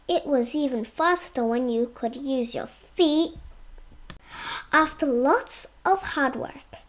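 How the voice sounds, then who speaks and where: A child reading a storybook aloud, careful and expressive, word by word. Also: high-pitched. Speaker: reader.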